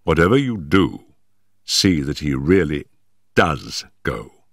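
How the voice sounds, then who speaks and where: A man voicing a sharp, self-assured male detective who speaks with crisp emphasis in a storybook reading. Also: rumbling low, very animated, slow pace, dry recording, studio quality, full character voice. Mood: urgent. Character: sharp, commanding male detective, middle-aged.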